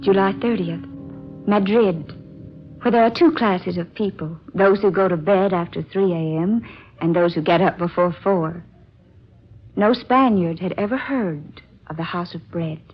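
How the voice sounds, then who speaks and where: An actress playing an earnest woman traveler, reciting a travel diary in a measured, deliberate 1940s radio drama style. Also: fairly low pitch, close to an everyday voice. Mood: wistful. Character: earnest woman traveler reading her journey diary, seeking a mysterious house. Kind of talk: 1940s radio acting, scripted narration. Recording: dull vintage radio recording with music. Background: music.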